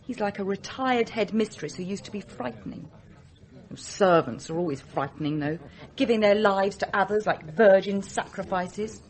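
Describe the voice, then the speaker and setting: An actress playing a blunt, disdainful upper-class daughter of the house in a radio drama, with an English accent. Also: female voice, mid-range voice, normal speed, natural voice.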